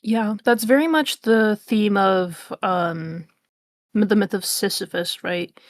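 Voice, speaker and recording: A woman's voice: mid-range voice, slow, dry recording, studio quality. A woman chatting casually as a stream co-host, choosing her words thoughtfully and with some hesitation. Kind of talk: casual chat.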